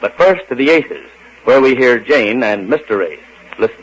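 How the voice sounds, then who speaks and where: A man's voice: medium pitch, animated, normal speed, breathy edge. A man announcing an old-time radio serial in scripted narration. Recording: muffled old radio recording.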